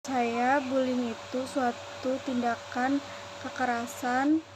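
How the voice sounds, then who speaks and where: A woman's voice: high voice, flat delivery, takes it slowly, noisy background. A woman in her twenties, giving brief answers. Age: 20s. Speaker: interviewee.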